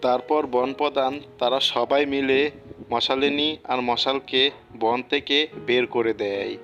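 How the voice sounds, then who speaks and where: A man's voice: mid-range voice, steady tone, slow pace, a bit bright, muffled recording. A man narrating a cartoon folk tale as a scripted storyteller. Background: music.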